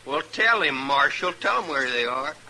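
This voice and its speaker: Defiant American outlaw, very sharp, forceful voice, taunting, rustic, old radio western. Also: male voice, on the high side, expressive, moderate pace, exaggerated voice, poor audio. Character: bitter, defiant captured outlaw gang leader. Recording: vintage radio recording with faint noise.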